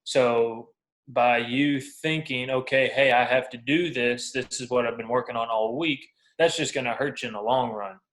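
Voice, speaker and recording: A man's voice: medium pitch, moderate pace, a bit bright, low-quality recording. A man in his twenties, a professional ballplayer, talking casually and candidly in an interview, using colloquial, anecdotal phrasing. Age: 20s. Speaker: professional baseball pitcher being interviewed.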